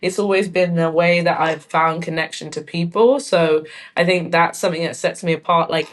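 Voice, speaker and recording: A woman talks through her ideas as an interview guest. Her voice sits low for a woman. She thinks aloud, filling pauses with "like" and "um.". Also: fairly even intonation. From London. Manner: thoughtful, frequent "like" and "um" fillers. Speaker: guest artist and filmmaker. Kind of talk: casual conversational interview.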